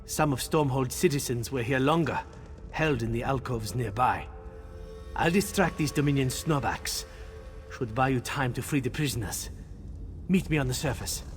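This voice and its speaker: An actor playing an urgent, resolute captive loyalist in a fantasy video game. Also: male voice, medium pitch, moderate pace, lightly acted. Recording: long cavernous reverb, faint game music.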